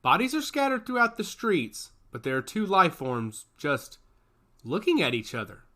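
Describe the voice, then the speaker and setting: A man voicing a tense young surveillance operator who speaks slowly and hesitantly. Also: high-pitched, wildly swooping pitch, pushed and bright, pitched above the performer's own voice, heavily acted. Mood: uneasy. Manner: hesitant, slow.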